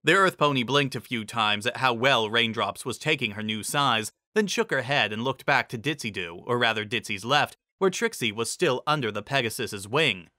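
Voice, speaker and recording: A man's voice: medium pitch, lively intonation, moderate pace, hardly any pauses, pushed and bright, no room sound, clean studio sound. A man giving a dramatic scripted narration of a story.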